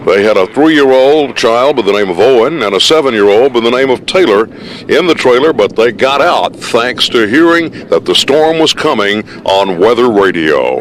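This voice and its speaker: A man's voice: medium pitch, lively intonation, moderate pace, slight background noise. A man working as a news reporter, narrating and asking questions in a plain reporting style.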